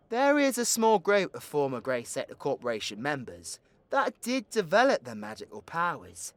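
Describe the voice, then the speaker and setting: A man's voice: medium pitch, fairly bright tone, no room sound. A British man playing a cocky, foul-mouthed, teasing supervillain in an audio roleplay, with crude slangy banter. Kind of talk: ASMR audio roleplay acting.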